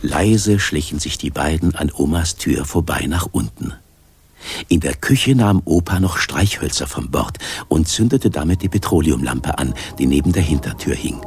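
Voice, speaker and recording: A man's voice: very deep voice, expressive, normal speed, slightly rough. A man reading scripted narration for a children's story. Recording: studio narration under loud music. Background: loud music.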